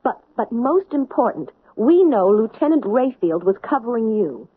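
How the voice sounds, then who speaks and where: An actress playing a brisk chief health officer, speaking in an urgent, clipped, authoritative way. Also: female voice, fairly high pitch, very animated, breathy edge. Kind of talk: 1970s radio drama acting. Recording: muffled old radio broadcast.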